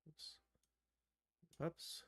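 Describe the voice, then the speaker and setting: A man giving an unscripted software tutorial on a livestream, halting and thinking aloud as he works. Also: no room sound. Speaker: livestream host and game developer.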